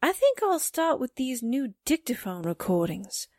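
A woman's voice: high-pitched, sing-song, measured pace, a little mellow, no room sound, studio quality, full character voice. A woman playing a plucky, suspicious young newspaper secretary in a theatrical 1940s radio drama style. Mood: puzzled.

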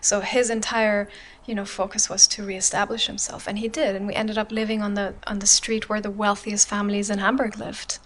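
Brief: woman from Germany, mid-pitched, guest on an interview podcast, open and reflective. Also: little pitch movement, brisk, few pauses, a bit bright, a little hiss or hum. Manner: open, reflective, frequent "you know". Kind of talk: candid personal storytelling.

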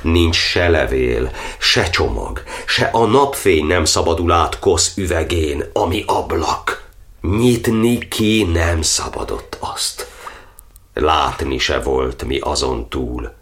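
An actor playing an old, suffering cardinal in an audio drama, declaiming a brooding verse monologue in an anguished way. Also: male voice, very deep voice, expressive, fairly bright tone, heavily acted. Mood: sombre, remembering. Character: imprisoned Hungarian cardinal, old, suffering, brooding over his martyrdom.

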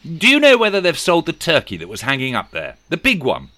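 Brief: male narrator playing a shrill, manic miser, bright tone, storybook. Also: wildly swooping pitch, fairly quick, heavily acted. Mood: gleeful. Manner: shouting, exclaiming, manic glee. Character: gleeful scheming male miser, loud and theatrical.

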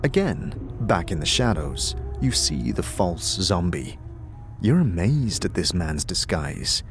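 Male narrator for fantasy audio drama, lower-pitched, scripted storytelling over light music. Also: sing-song, slow pace. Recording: music behind the voice.

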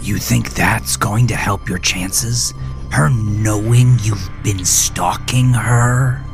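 A man performs a bossy, menacing imaginary companion from a horror audio story. The gravelly delivery is sneering and commanding, with music behind it. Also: fairly low pitch, slow. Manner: taunting, commanding.

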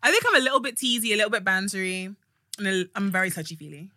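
A woman's voice: mid-range voice, animated, very fast, bright, forceful tone, dry recording, studio quality. A woman co-hosting a casual podcast, giggly and teasing in a playful way. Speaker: podcast co-host.

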